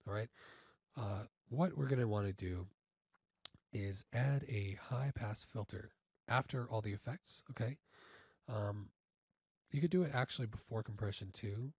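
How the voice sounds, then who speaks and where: A man informally instructing step by step in a software tutorial, halting and often checking in, on a close mic. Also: fairly low pitch, breathy edge, no room sound. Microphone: close studio mic through audio interface.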